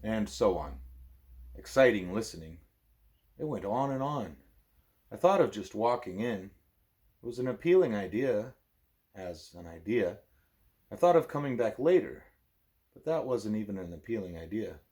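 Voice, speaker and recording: A man, a parent, reading a book aloud expressively as a bedtime story, with a wry, deadpan manner. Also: lowish voice, moderate pace, pauses often.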